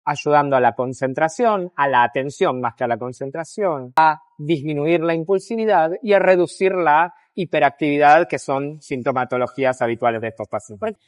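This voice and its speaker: A man's voice: on the high side, moderate pace, hardly any pauses. An Argentine man giving explanatory interview answers as a psychiatrist, in a didactic way with emphatic repetition and rephrasing.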